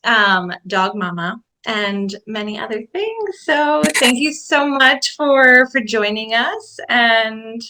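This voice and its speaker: Host role: bright, upbeat woman, chatty interviewer on a video call. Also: a little high, lively intonation, slow pace, few pauses. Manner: upbeat, frequent "like". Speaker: podcast host.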